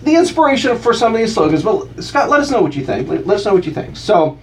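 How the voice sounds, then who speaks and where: A man joking with the host on a radio show, teasing and animated, reading out mock slogans. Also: sits high, fast talker, distant mic, slight background noise. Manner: teasing, animated, breaks into chanted cheers. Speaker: guest co-host. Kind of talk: playful radio banter.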